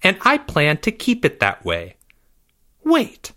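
A man voicing a cautious, rule-abiding schoolboy in a storybook, speaking in a firm, deliberate and unimpressed way. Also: sits high, huge pitch swings, pushed and bright, voice pushed up, heavily acted. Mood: wary.

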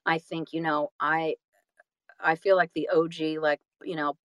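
Woman, slightly low pitch, dry close sound, casual interview guest, earnest, effusive, expressive. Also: measured pace, no room sound. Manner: expressive, acts out little quotes and asides. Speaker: guest, audiobook narrator and actor.

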